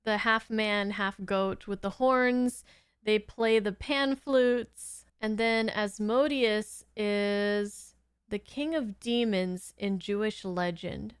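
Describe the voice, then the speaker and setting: Giggly lady host chatting about a show, clean smooth voice, slow pace, dry sound. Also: medium pitch, no room sound. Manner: giggly, rambling, informal. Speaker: host of a reaction channel. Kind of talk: casual reaction commentary.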